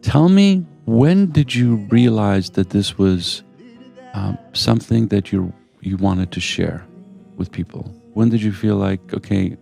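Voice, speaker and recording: Male radio host, very low and gravelly voice, eager and rambling, light music under the talk. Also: expressive, measured pace, mellow. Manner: enthusiastic, rambling storyteller. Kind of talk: interview. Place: venue in Lisbon with music behind.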